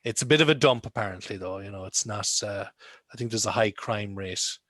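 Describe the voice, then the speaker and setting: A man's voice: lowish voice, a little fast, fairly bright tone, dry recording, studio quality. An Irish man teaching English in a chatty, joking way, wandering into asides during an online class. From Ireland. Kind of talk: casual online class lecture. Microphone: video call.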